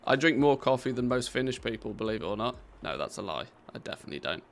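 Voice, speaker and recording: British man, live game streamer, casual chatter, laughs easily, creaky gravelly tone. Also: medium pitch, very animated, fast, a bit bright. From Britain. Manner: chatty, answers viewer questions, laughs easily.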